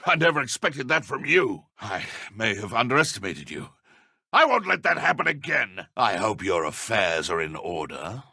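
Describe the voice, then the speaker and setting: An actor playing a haughty, menacing dark wizard in a video game, with a British accent and pompous, formal, theatrical delivery. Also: male voice, on the low side, big pitch swings, moderate pace, vocal fry, breathy edge, no room sound, studio quality, heavily acted. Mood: taunting.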